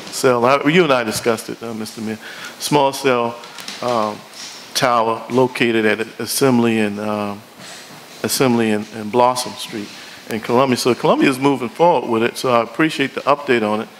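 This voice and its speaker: Halting man giving a council report. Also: mid-range voice, a bit bright, slight background noise, rough recording. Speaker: city council member giving committee report. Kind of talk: spoken meeting report, loosely improvised. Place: council meeting room.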